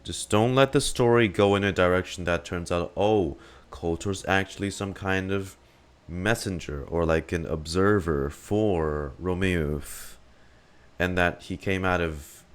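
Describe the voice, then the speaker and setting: A man's voice: low voice, unhurried, clear, resonant tone. A man giving casual live stream commentary on a game, rambling and thinking aloud. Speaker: streamer reacting to a video game.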